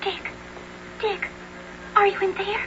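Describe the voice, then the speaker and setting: A woman's voice: extremely high pitch, little pitch movement, slow, pushed and bright, full character voice. A woman playing a brave young orphaned heiress in a 1970s radio drama, speaking in earnest, theatrical, old-fashioned formal language. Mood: urgent, guarded. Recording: dull 1970s radio broadcast, noisy.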